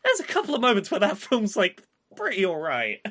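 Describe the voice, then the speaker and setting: Lively, bright-voiced woman podcaster. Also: mid-range voice, fairly quick. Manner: animated, swears freely, frequent restarts. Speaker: podcast co-host. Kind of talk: casual chat.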